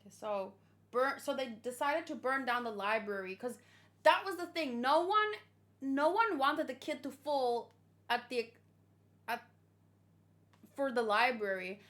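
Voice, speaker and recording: A woman giving excited, rambling reaction commentary, emotional and full of gushing exclamations. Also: high-pitched, unhurried, long pauses. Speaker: host of a manga read-along reaction.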